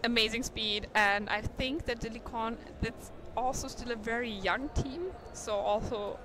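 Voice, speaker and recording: Woman, non-native English speaker, live sports commentator; fairly high, chatty delivery over loud hall noise. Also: fairly even intonation, unhurried. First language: not English. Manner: chatty, thinks aloud, stumbles on names. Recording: noisy sports hall ambience. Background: bouncing balls and hall noise.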